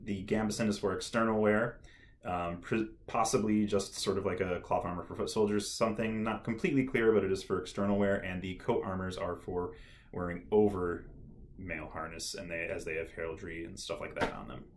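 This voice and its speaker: Young guy, slightly low voice, nerdy history explainer, relaxed, lots of ums, small room. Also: a little fast. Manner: relaxed, many ums and uhs, nerdy enthusiasm. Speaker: history video host. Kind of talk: casual educational talk to camera. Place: small room indoors, dark backdrop.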